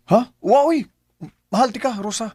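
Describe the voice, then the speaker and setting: A man's voice: very high-pitched, moderate pace, darkish tone, breathy edge, no echo. An actor playing a smitten, playful young ladies' man in a Bisaya radio drama, with a Filipino accent. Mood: tender, eager.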